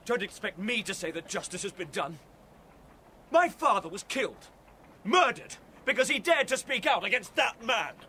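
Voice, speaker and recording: A defiant son making a declaration in a radio play. The voice is very high and forceful, with faint noise of a street scene behind. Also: male voice, expressive, normal speed. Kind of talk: declamatory radio drama acting.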